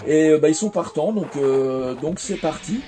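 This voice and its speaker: A man's voice: a little high, normal speed, mellow, slight background noise. A French man in his forties, recounting his career in a casual interview, hesitant with many fillers and restarts. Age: 40s. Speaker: interviewee, former game developer.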